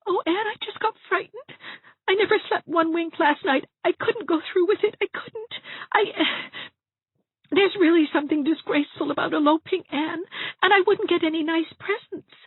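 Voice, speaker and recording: A woman's voice: very high voice, normal speed, no room sound, voice pushed up. A woman voicing a frightened, self-pitying young bride-to-be in an audiobook, sobbing and stammering as she wails. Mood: tearful, whiny. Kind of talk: audiobook character voice. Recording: thin phone-like narrow-band sound.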